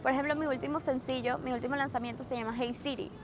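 A woman's voice: high-pitched, fairly even intonation, fast, a little hiss or hum. A young woman with a Venezuelan Spanish accent, a singer-songwriter chatting casually in an interview outdoors on a boat, slipping English words into her Spanish. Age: young adult. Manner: bubbly, mixes English into Spanish.

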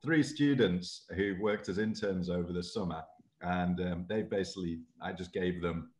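A man's voice: deep voice, moderate pace. A man in his forties, a university lecturer in naval architecture, talking casually and self-deprecatingly on a video call from his office. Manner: self-deprecating, rambling, animated with hand gestures. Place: office with bookshelves, indoors. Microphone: laptop webcam video call.

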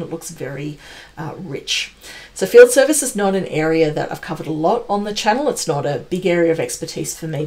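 A woman's voice: sits low, a little mellow. A woman in her forties giving an enthusiastic, conversational and emphatic explainer on product announcements, as a tech presenter. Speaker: tech channel presenter, pre-sales professional.

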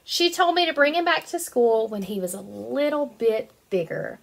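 A woman in her twenties, a kindergarten teacher reading a picture book aloud to young children in an animated, sing-song way. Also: on the high side, moderate pace, vocal fry, slight background noise. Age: 20s.

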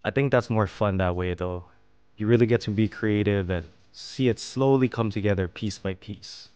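A man narrating a fish-cutting and plating tutorial as host, explaining each step calmly. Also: lowish voice, normal speed, clean tone, muffled recording. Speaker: fishing and cooking channel host. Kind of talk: calm instructional voice-over.

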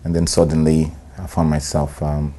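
A middle-aged Nigerian man, an actor, talking in a sit-down interview, relaxed and hesitant, with many "um"s and "you know"s. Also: rumbling low, moderate pace. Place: bright studio room, indoors. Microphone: lapel.

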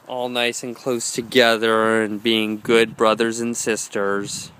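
Bright-voiced young man vlogging with dogs. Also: medium pitch, little pitch movement, slow pace, clean tone, rough recording. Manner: upbeat, coaching, talks to his dogs. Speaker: dog trainer vlogger. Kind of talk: casual vlog narration. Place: gym, park and home, handheld camera. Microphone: handheld camera mic.

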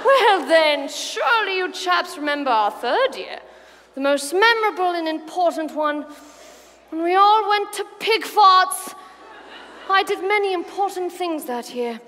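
A woman's voice: very high voice, expressive, a little slow, bright, forceful tone, exaggerated voice. A woman playing a posh, falsely chummy schoolboy schemer with theatrical, drawling diction in a comic staged reading. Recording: live hall reverb with background noise.

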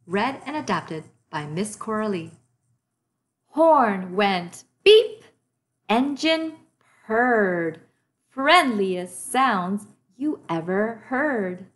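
A woman hosting storytime, reading a children's picture book aloud in a deliberate, expressive way to young listeners. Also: mid-range voice. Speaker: storytime host and reader.

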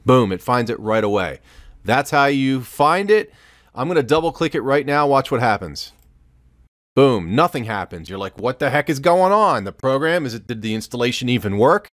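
A man in his fifties giving an informal, instructional software tutorial at a close microphone in a small room. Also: medium pitch, sing-song, normal speed, no echo. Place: small home studio room, indoors.

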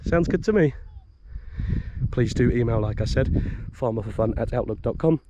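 A man giving a casual walking tour outdoors as a vlogger, rambling and chatty, with restarts and soft chuckles. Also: medium pitch, lively intonation, normal speed, muffled recording. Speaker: vlogger showing a property for sale. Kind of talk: casual walk-and-talk tour. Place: outdoors, walking a farm and village streets. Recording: outdoor noise behind the voice.

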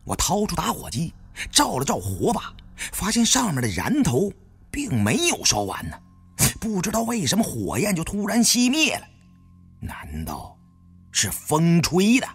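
A man's voice: high voice, huge pitch swings, measured pace, vocal fry, breathy edge. A man narrating an audiobook as dramatic first-person storytelling.

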